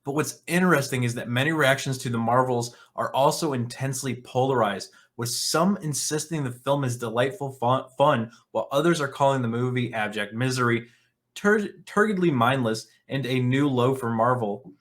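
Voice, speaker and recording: A man's voice: medium pitch, normal speed. Sarcastic, blunt man hosting a commentary show. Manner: sarcastic, scornful, blunt and profane. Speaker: online commentary show host. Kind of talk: opinionated commentary, reading articles aloud and reacting.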